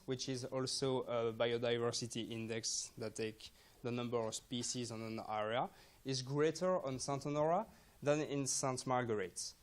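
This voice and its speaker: A young man, a student presenter, explains research results step by step in a hesitant way, with non-native English phrasing. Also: medium pitch. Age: young adult.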